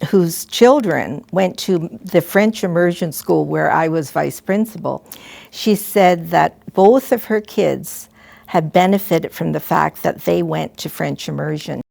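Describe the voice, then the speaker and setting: An older woman, a retired school principal, telling gentle, halting stories and advice to students. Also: on the low side, creaky, no room sound. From Canada. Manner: gentle, halting, with frequent restarts and soft asides. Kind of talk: reflective storytelling to students.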